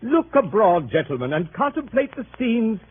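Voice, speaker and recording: An actor playing a pompous, earnest and innocent club leader, speaking in orotund, slightly hesitant phrases in 1930s radio comedy style. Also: male voice, high voice, sing-song, normal speed. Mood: grandly oratorical. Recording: tinny, noisy 1930s radio broadcast recording.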